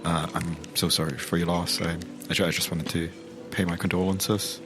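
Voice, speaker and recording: A man's voice: sits low, little pitch movement, normal speed, music in the background, close to an everyday voice. A man playing an earnest, awkward family man in a tabletop game, stammering and chatty, with a Northern Irish accent. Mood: awkward, sympathetic. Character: earnest, awkward family man visiting his old local pub. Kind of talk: improvised tabletop roleplay.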